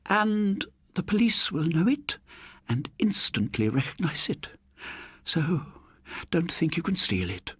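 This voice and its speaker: A man's voice: fairly high pitch, very animated, takes it slowly, slightly rough, no room sound, pitched above the performer's own voice, exaggerated voice. A man voicing a prim, genteel elderly spinster landlady who scolds fussily in an audiobook story. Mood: defiant. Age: older. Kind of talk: audiobook character voice.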